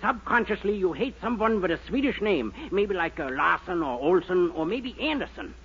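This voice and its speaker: High-pitched bumbling fake Viennese shrink. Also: male voice, sing-song, moderate pace, a bit bright, husky, heavily acted. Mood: breezy mock authority. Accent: mock German. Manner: muddled, mixing garbled foreign phrases. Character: bumbling fake Viennese psychoanalyst, bluffing and cheeky. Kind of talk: 1940s radio comedy acting. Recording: noisy, muffled old 1940s radio recording.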